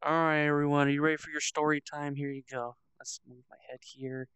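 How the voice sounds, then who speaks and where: A man's voice: fairly high pitch, flat delivery, fairly quick, fairly bright tone, clear, resonant tone, dry recording, muffled recording. A man hosting a casual livestream in a social game, talking with friends and reading aloud. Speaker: streamer and host.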